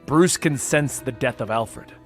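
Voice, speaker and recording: A man's voice: medium pitch, a little slow, faint music behind. A man narrating a comic-book story as a dramatic scripted read.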